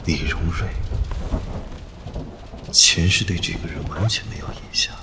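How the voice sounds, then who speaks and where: A man's voice: sits low, unhurried, noisy background. An actor playing a proud, betrayed man reborn into another life, cold and sardonic, in a modern radio drama. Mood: wry, musing. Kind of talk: Chinese modern radio drama acting, inner monologue.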